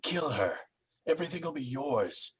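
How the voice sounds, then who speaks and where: An actor playing an ominous, commanding voice inside a killer's head, chanting in old-time radio horror style. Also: male voice, mid-range voice, very animated, moderate pace, slightly rough. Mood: insistent, sinister.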